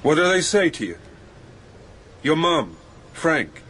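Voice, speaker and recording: A man's voice: a little high, sing-song, slow, a bit bright, slightly rough, full character voice. An actor playing a lonely, troubled dead man who narrates in a brooding, literary manner in a radio drama. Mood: unsettled.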